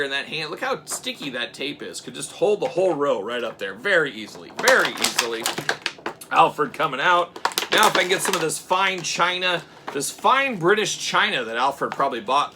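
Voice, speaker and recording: A young adult man hosting a casual toy unboxing, joking and rambling in asides, swinging between loud bursts and low mutters. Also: slight background noise. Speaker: toy reviewer.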